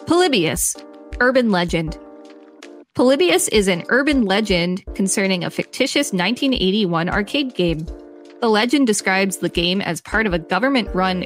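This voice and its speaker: Woman reading an article for a podcast. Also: medium pitch. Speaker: podcast co-host. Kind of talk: scripted article reading with light commentary.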